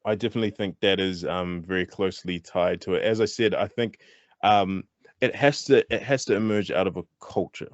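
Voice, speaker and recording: Kiwi guy, low voice, chatty and fired up, lots of restarts, muffled lo-fi call sound. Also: brisk, dry recording. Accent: New Zealand. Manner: animated, thinks aloud with many restarts. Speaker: guest, hip-hop artist. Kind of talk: candid interview talk. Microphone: online call.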